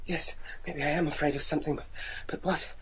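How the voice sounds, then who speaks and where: A man acting a tormented young werewolf in a gothic radio play. He speaks in a halting, trembling, melodramatic way, like someone haunted. There is faint noise on the recording. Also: a little high, fairly even intonation, a bit bright, lightly acted. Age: young adult. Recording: noisy radio drama recording.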